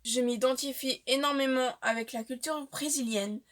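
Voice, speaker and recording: Young woman, flat chatty delivery. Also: high-pitched. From Portuguese-speaking family, raised in Switzerland. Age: young adult. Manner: chatty, frequent filler pauses. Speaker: vlogger. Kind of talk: casual facts-about-me vlog.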